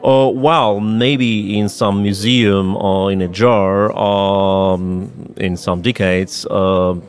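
A man from Russia with a Russian accent, a native Russian speaker, explaining politics in a halting, deliberate and emphatic way as an interview guest. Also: lowish voice, steady tone.

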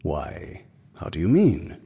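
A man's voice: deep voice, wildly swooping pitch, takes it slowly, gravelly, warm tone, husky, heavily acted. A man playing a nervous, shifty tax collector. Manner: flustered, hesitant. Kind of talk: audio drama acting.

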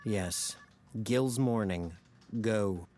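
A man's voice: on the low side, very slow, a little mellow. A man acting as a cynical, jaded ad man in a comedic audio drama, melodramatic and profane as he needles his best friend. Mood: mock dreamy.

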